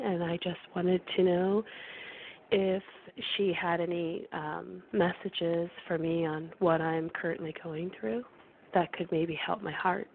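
A woman calling in, hoarse from being sick and losing her voice, earnest and grateful. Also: on the low side, a little hiss or hum. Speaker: caller. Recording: phone line.

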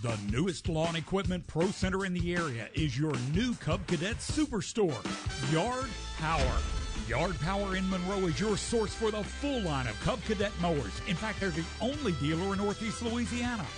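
A man reading a local radio commercial as an energetic announcer. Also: high voice, normal speed, over music.

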